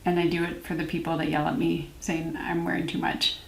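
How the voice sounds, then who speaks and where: A woman's voice: sits low, level tone, brisk, vocal fry, a little hiss or hum. A woman in her thirties chatting informally to camera in a casual product review, with self-deprecating asides. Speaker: beauty vlogger, series host. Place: small room at home, indoors. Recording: roomy indoor echo.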